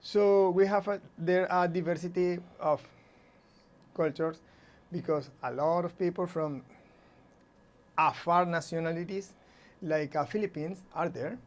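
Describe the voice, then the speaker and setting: A middle-aged man from Aruba, explaining things haltingly in English as an interview guest. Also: sits high. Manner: halting, searching for English words. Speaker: visiting music teacher and guest.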